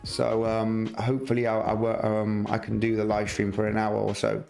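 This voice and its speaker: A man with a gravelly, slightly rough voice hosts a live trading stream. He talks loosely through his charts, full of fillers and restarts. Faint music plays softly behind him. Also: on the low side, completely flat delivery, moderate pace. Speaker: market analysis livestream host.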